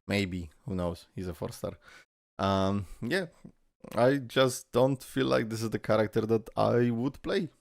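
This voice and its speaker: A young man with a low, gravelly voice gives loose, joking reaction commentary, swearing and laughing as he goes. He is recorded close on a dry mic, with faint music playing underneath. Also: measured pace, no echo. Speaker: reaction video host.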